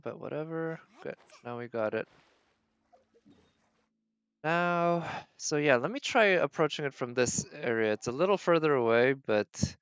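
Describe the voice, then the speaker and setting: A man's voice: mid-range voice, clear, resonant tone, dry recording, dull audio. A man doing casual live gameplay commentary as a streamer, thinking aloud as he narrates his own decisions. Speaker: game streamer.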